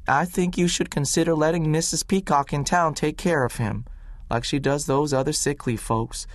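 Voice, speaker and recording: A man reading aloud and voicing a firm but kindly middle-aged woman country doctor who speaks slowly and insists gently. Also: a little high, fairly even intonation, no room sound, clean studio sound, pitched above the performer's own voice, natural voice.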